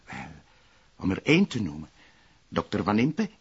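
A man with a Flemish accent playing a dutiful, polite police brigadier with quiet persistence in a naturalistic crime drama. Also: fairly low pitch, wildly swooping pitch, normal speed, husky, exaggerated voice. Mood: earnest. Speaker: actor. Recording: dull audio with faint hiss.

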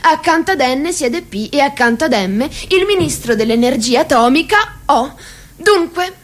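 A woman acting as a formal narrator in a radio play, reciting in a deliberate, measured way. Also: sits high, expressive. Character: female narrator reciting a party hierarchy, precise and formal. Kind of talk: theatrical radio drama narration in Italian.

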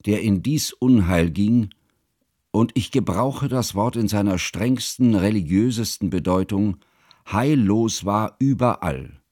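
A man's voice: on the low side, mellow, no echo, studio quality. A man playing a grave, bookish, sorrowful older chronicler, narrating formally and solemnly in a literary radio play.

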